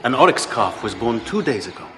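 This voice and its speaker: Actor as expressive, deferential male palace guard. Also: medium pitch, fairly quick, background noise, close to an everyday voice. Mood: formal, polite. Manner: polite, formal, careful. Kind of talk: audio drama acting.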